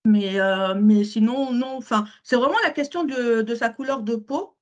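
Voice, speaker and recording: A woman's voice: on the high side, moderate pace, a little mellow, highs cut off. A woman co-hosting a candid conversation, talking with emphatic repetitions and frequent self-corrections. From grew up in France, born abroad. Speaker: podcast co-host.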